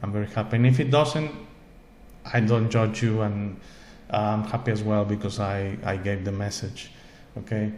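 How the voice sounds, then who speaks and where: A man's voice: lowish voice, steady tone, normal speed, darkish tone. A man who is not a native English speaker hosts his own show, giving an earnest, rambling spiritual talk full of repeated words and checks like "okay?". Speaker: host. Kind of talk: spiritual monologue to camera. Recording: echoey room with faint ambient noise.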